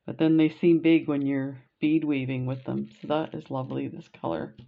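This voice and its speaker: Canadian woman, middle-aged, low voice, chatty and gushing, dull lo-fi sound. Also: fairly even intonation, moderate pace, creaky. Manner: chatty, gushing, many fillers. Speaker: beading vlogger. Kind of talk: casual unboxing chat.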